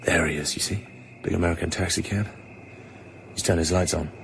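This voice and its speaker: A terse, guarded British secret agent in a radio drama. His voice sits low and his delivery is clipped and commanding, with loud music under the dialogue. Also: fairly even intonation, fairly quick, creaky. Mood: urgent, tense.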